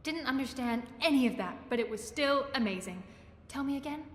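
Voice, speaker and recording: A woman's voice: sits high, lively intonation, fairly quick. A woman playing an eager, awkward, bookish young woman in a fantasy video game, gushing and rambling as she corrects herself. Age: young adult. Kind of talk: video game character acting. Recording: echoey hall, faint background noise.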